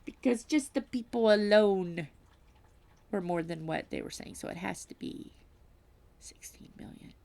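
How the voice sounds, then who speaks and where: Slow, gravelly-voiced woman crafting vlogger. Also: medium pitch, lively intonation. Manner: chatty and self-deprecating, often drops to a soft whisper. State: slightly stuffy nose. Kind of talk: casual chat while diamond painting, reading history aloud.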